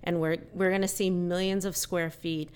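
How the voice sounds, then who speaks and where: Middle-aged woman, podcast guest in real estate PR; low voice, smooth delivery, measured and upbeat. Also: flat delivery, a little mellow. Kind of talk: podcast interview.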